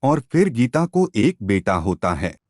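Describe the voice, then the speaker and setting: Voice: medium pitch, very animated, a little slow, a little mellow, no room sound, studio quality. A narrator reading a scripted moral story.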